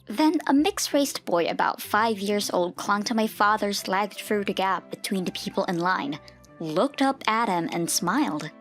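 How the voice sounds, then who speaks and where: A woman's voice: medium pitch, expressive, moderate pace, faint music behind. A woman reading a scripted first-person story as the narrator of a comic dub.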